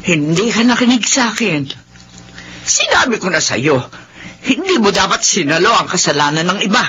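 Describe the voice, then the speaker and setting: Casting: actress as blunt middle-aged auntie in radio drama, reproachful and worried, muffled noisy recording. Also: female voice, medium pitch, very animated, moderate pace, fairly bright tone. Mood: stern, reproachful. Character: stern, scolding older aunt, worried and blunt. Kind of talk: Filipino radio drama acting. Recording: dull lo-fi audio with background noise.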